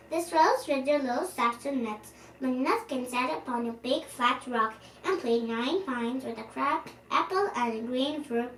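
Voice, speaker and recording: A young girl reading a children's storybook aloud in an animated, expressive way, now and then stumbling over words. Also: sits high. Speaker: storyteller, channel host. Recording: roomy, distant microphone, faint background noise.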